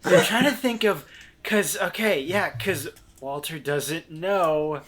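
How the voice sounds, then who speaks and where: A man's voice: on the high side, takes it slowly, a bit bright, slight background noise. A man playing a tabletop role-playing game, talking casually and hesitantly as he thinks aloud.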